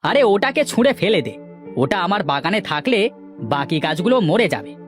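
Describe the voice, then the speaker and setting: A woman acting as an envious, scheming village man in a cartoon tale. The delivery swings widely in pitch and sounds scornful and bossy, over a light music bed. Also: big pitch swings, moderate pace. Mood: dismissive. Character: sly, grudging village men, scheming and envious. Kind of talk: cartoon moral story voice acting.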